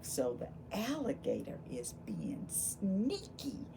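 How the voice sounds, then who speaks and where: A woman reading a picture book aloud to children in an expressive, exclaiming way, speaking directly to her young listeners with questions and asides. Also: medium pitch, slow, gravelly. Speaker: volunteer children's book reader. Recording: steady background noise.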